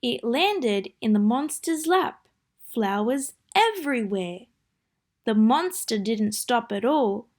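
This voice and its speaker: A high-pitched woman narrates a rhyming picture book for children. She takes her time over each phrase, with a deliberate sing-song lilt. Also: lively intonation, a little mellow. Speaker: children's story reader. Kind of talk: read-aloud storybook narration.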